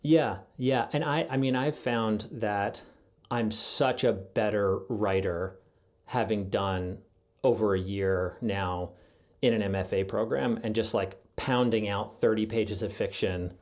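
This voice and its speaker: A man's voice: on the low side, measured pace, a little mellow. A middle-aged man speaking as an interview guest in a casual, reflective, conversational way. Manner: thoughtful, conversational. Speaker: podcast guest, journalist and writer.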